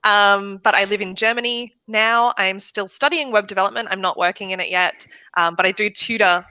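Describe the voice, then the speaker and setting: A woman's voice: mid-range voice, steady tone, moderate pace, sharp tone, smooth, low-quality recording. A young adult woman with an Australian accent, chatting upbeat and laughing easily on a video call. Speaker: web development student and tutor. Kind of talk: casual video call chat.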